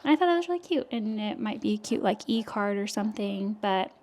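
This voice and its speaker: A soft-spoken woman chatting casually to camera in her home art studio, talking through her work with lots of fillers. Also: mid-range voice, animated, fairly quick, slight background noise. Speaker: illustrator vlogger. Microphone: external microphone.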